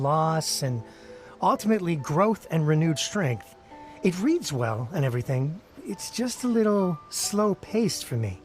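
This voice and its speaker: A man's voice: on the high side, lightly acted. A man voicing a casual young reader who talks frankly about what he likes.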